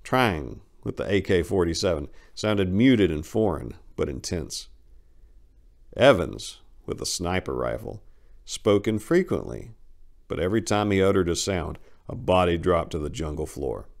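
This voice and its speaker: A man gives a dramatic reading of a war story as narrator. His voice sits fairly low and moves through wide, animated swings in pitch. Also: unhurried, vocal fry.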